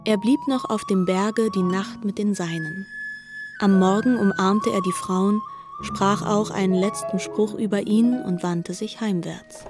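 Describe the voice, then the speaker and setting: A woman's voice: mid-range voice, fairly even intonation, measured pace, music in the background. A woman delivering scripted literary narration in a formal, composed way.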